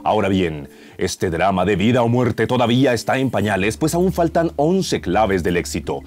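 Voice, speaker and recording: A man's voice: fairly low pitch, big pitch swings, moderate pace, a little mellow. A man in his thirties with a Colombian accent narrating a radio serial in a mock-epic, suspenseful style, close on a studio mic. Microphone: close broadcast microphone.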